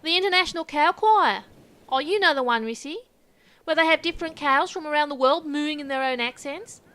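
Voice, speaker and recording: A woman playing a brash, dim, indignant Australian show presenter in an audio comedy, loud and matey. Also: sits high, a little fast. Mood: blithe, matey.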